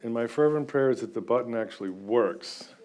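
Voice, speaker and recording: A man's voice: mid-range voice, brisk, a little mellow. Self-deprecating older male lecturer at a lectern. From United States, half Guatemalan. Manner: chatty and self-deprecating, with frequent filler sounds. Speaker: seminary lecturer. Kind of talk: informal academic lecture. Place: lecture room with audience. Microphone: far camera, standing at lectern.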